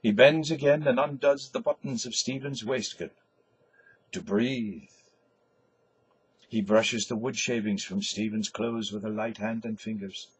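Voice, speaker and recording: An older man, an actor, giving a measured, deliberate dramatic reading of literary prose and stage directions. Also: mid-range voice, fairly even intonation, slightly rough, poor audio. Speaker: actor reading a novel aloud. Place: small indoor space in front of a cloth backdrop.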